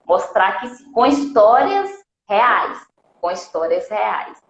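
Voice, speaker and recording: This is a woman of middle pitch hosting a live interview stream, and she speaks in a welcoming, encouraging way. Her voice carries a roomy echo, and the audio sounds somewhat dull and lo-fi. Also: big pitch swings, slow pace.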